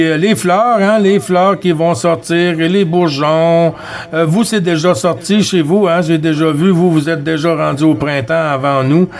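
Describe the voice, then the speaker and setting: A man from Quebec with a Québécois accent, chatting casually and haltingly as a CB radio operator. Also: fairly high pitch. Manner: halting, repetitive, frequent filler tags. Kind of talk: casual radio contact chat.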